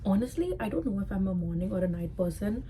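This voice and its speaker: Very mellow young Indian-accented woman. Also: mid-range voice, very animated, quick pace, a little hiss or hum. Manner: chatty, full of "like" fillers. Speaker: vlogger. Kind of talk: casual chat to camera.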